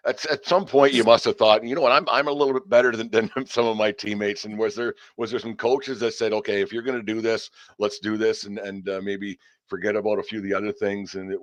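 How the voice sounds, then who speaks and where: A man with a fairly low voice, talking quickly in a dry, close recording. He hosts a casual sports interview and winds his way through long, rambling questions. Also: no echo. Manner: rambling questions, circling around the point. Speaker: sports podcast host.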